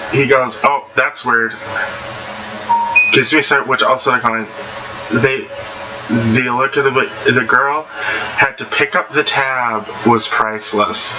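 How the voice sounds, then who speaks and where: A man casually reading online stories aloud, stumbling over words at times and adding blunt, slangy commentary, with noisy background ambience. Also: mid-range voice. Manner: blunt, slangy, informal reactions. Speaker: online story reader and commentator.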